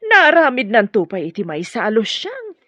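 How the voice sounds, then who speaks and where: An actress in an Ilocano radio drama playing a pushy, scheming mother, with a husky voice. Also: fairly high pitch, wildly swooping pitch, moderate pace, fairly bright tone, no echo, full character voice. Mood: coaxing. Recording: dull lo-fi audio.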